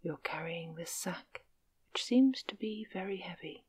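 Old bearded stranger, sly and cheerful, whispered low and slow by a woman narrator. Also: lively intonation, a little mellow, slightly rough. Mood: wheedling.

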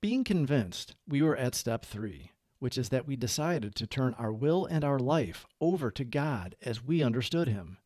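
A man narrating a book aloud as a reader, with measured, clearly phrased delivery. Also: medium pitch, expressive, a little mellow, no echo, clean studio sound. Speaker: audiobook reader.

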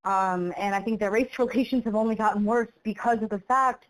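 A woman's voice: medium pitch, fairly even intonation, brisk, dry recording, poor audio. A woman with an American accent giving her opinion in a voice message. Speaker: viewer leaving a voice message. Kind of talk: opinion monologue.

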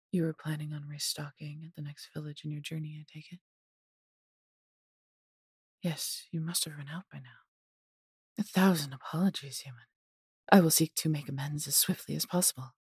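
Woman acting a female dragon, possessive, haughty yet doting, audio roleplay, extremely husky breath, whispery dips, theatrical condescension, dry close-mic sound. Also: deep voice, normal speed, pauses often, a little mellow, dry recording. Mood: apologetic, doting. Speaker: voice actor.

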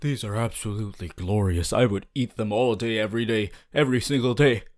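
A man playing a young, cheerful aspiring superhero student in an audio roleplay, earnest and goofy, with booming theatrical boasts and hesitant asides. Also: a little fast, lightly acted. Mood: delighted, flustered. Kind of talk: ASMR audio roleplay addressed to listener.

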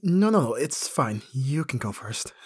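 A man acting a flustered, husky young guy. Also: mid-range voice, very animated, measured pace, dry recording, full character voice. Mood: awkward, subdued. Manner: hesitant, stammering, often dropping to whispers. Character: flustered, awkward hungover young man, listener's best friend. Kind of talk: ASMR roleplay audio drama acting.

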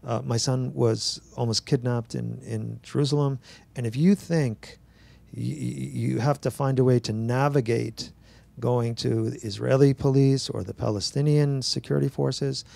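An older man, an author at a bookstore talk, telling stories to an audience on a handheld mic, animated and acting out dialogue with frequent restarts. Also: medium pitch, normal speed, creaky, no echo. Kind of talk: relaxed storytelling to an audience. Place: bookstore event space.

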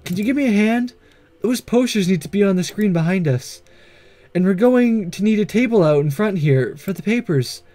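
Man playing a soft high-voiced studious schoolgirl. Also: expressive, moderate pace, dry recording. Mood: grateful, earnest. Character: gentle, studious, earnest schoolgirl organiser. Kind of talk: visual novel read-aloud.

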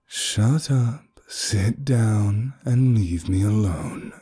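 A man's voice: mid-range voice, drawn-out words, warm tone, breathy edge, full character voice. Surly, possessive woman voiced by a man, curt and snappish. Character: gruff, surly woman, possessive of her room.

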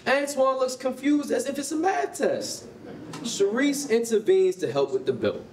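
A man's voice: very high-pitched, sing-song, measured pace, a little mellow. An American man reading scripted stage directions as narrator at a staged reading. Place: concrete-walled rehearsal hall. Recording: roomy, distant mic, faint noise.

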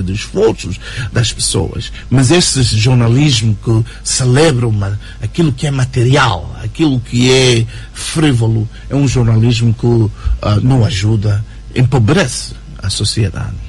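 A writer and journalist as a radio interview guest, talking in an animated way with many fillers and tag questions. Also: male voice, mid-range voice, unhurried, gravelly, breathy edge. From Angola. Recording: radio broadcast recording.

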